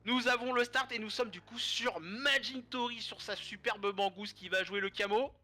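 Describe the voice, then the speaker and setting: Hoarse, congested male esports caster with a bright, forceful tone; joking, rambling play-by-play. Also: high voice, moderate pace. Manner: joking, rambling casual commentary. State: stuffy nose, hoarse from a cold. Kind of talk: live esports commentary.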